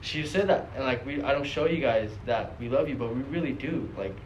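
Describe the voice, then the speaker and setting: A man's voice: mid-range voice, fairly quick, muffled recording. A young man chatting casually as a podcast host, blunt and emphatic, with heavy slang and swearing, repeating himself to make a point. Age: young adult. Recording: noticeable background noise.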